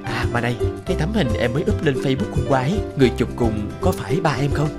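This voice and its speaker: A man's voice: medium pitch, expressive, fast, over loud music, close to an everyday voice. A man voicing a scheming, lazy young office worker in a cartoon, with a whiny, theatrical manner. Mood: sweet wheedling. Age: young adult. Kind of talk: cartoon voice acting.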